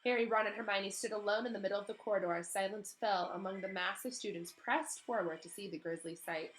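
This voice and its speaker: A woman teacher giving an expressive storybook read-aloud with casual asides to her pupils. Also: medium pitch, quick pace, low-quality recording.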